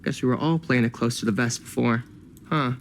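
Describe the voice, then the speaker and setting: An actor playing a slick, pushy film collector in a video game, glib and teasing. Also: male voice, medium pitch, fairly quick, a little hiss or hum, lightly acted. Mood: wry.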